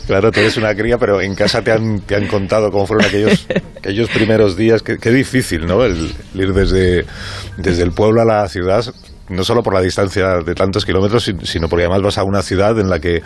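Male host, Spain accent, lowish voice, conversational, halting questions. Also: steady tone. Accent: Spanish (Spain). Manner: halting phrasing, frequent tag questions. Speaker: interview host. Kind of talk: conversational interview.

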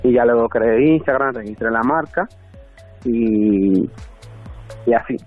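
A male interview guest with a Dominican accent speaks conversationally in a medium-pitched voice. The sound is narrow and phone-like, with light music underneath. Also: a little slow, breathy edge. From Dominican Republic. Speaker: interview guest, artist and designer. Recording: thin phone-like band, faint music.

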